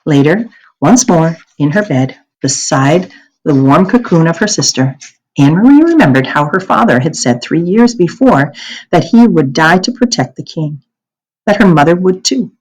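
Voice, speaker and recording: A woman reading a children's novel aloud as an expressive narrator. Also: sits low, moderate pace, husky, poor audio.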